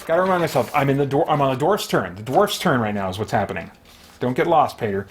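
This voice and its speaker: A man hosting a solo tabletop game, casually narrating his moves and talking himself through rules and choices. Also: a little high. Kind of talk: casual play-by-play, thinking aloud.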